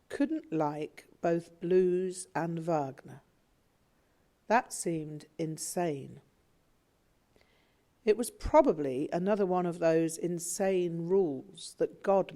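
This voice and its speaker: A woman narrating a memoir audiobook in a measured, reflective way. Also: fairly low pitch, animated, warm tone.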